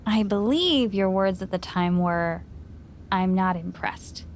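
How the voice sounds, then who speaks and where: A woman's voice: medium pitch, slow, slight background noise. A woman voicing a wry female friend in a game, with teasing, dry sarcasm. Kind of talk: game cutscene dialogue.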